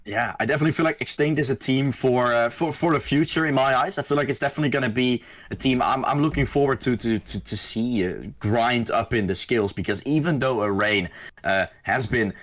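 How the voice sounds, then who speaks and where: A man's voice: mid-range voice, a little fast, fairly bright tone. A man with a Dutch accent, a native Dutch speaker, giving casual post-match analysis as a co-caster, rambling and repeating words. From Netherlands. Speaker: guest co-caster.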